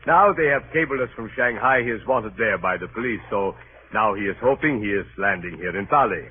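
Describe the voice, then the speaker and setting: A scratchy, phone-thin 1930s radio recording carries a man acting as a shrewd Dutch colonial official. His delivery swings widely in pitch, sardonically amused and unyielding, in deliberate, Dutch-accented broken English. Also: expressive, narrow, tinny audio, lightly acted. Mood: mocking, emphatic.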